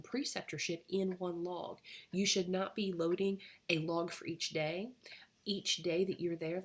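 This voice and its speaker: A woman course instructor recording class reminders, her voice husky as she gets over a cold, talking with many fillers. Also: lowish voice, a little mellow. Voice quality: husky, fading voice. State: getting over a cold, coughing.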